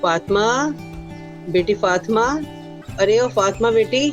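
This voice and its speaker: A woman's voice: medium pitch, measured pace, highs cut off, music in the background, close to an everyday voice. A woman playing a caring, anxious village mother in an audio drama.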